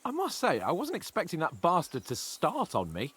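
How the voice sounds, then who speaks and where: A man's voice: fairly high pitch, wildly swooping pitch, normal speed, a bit bright, slightly rough, exaggerated voice. An actor playing a cocky, teasing young nobleman in a game cutscene, joking and boastful with his friend. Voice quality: playful, mocking.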